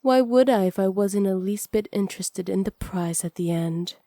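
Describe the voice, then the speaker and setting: A woman playing a seductive, teasing witch in an intimate ASMR roleplay, sly and possessive, often slipping into breathy whispers close to the microphone. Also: mid-range voice, warm tone, dry recording, lightly acted. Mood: sly, probing. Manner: slow, breathy, often whispered, flirtatious purr. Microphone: close studio microphone.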